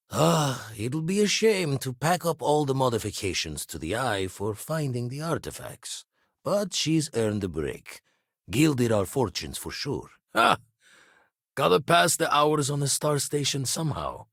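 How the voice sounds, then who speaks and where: A man voicing a weathered, shrewd ex-pirate spacefarer in a video game, guarded but loyal, with a husky voice and theatrical, slangy turns of phrase. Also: mid-range voice, sing-song, measured pace, fairly bright tone, no room sound, clean studio sound, full character voice. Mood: wry, reflective. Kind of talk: scripted game character dialogue.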